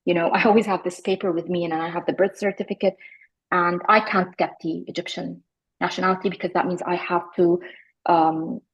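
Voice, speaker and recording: A woman in her forties, from Iraq, talking reflectively as an interview guest, often pausing with fillers and restarting her sentences. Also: on the low side, level tone, husky, lo-fi sound. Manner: thoughtful, many fillers and restarts.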